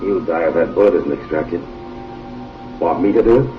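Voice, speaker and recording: A man's voice: low voice, normal speed, natural voice. A man playing a folksy, hesitant stagecoach driver with a drawling manner, on muffled old film audio with music.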